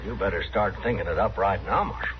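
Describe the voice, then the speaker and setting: An actor playing a slick, cocky traveling ball team boss, glib and defensive, in an old-time radio western. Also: male voice, low-pitched, fast, close to an everyday voice. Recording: dull, noisy vintage radio recording.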